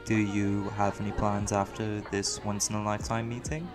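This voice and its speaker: A man's voice: lowish voice, flat delivery, moderate pace, loud music behind the voice, natural voice. A man playing a young student doctor with a secret life as a lawman, in an audio roleplay. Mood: friendly, curious. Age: young adult.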